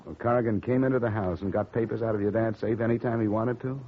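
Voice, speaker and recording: Actor as low-voiced, cool hardboiled radio detective. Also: male voice, steady tone, fast, natural voice. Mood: probing. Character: cool, wry male insurance investigator, hardboiled detective. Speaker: radio drama lead actor. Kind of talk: 1950s radio acting, scripted first-person narration. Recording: muffled old radio recording, music behind.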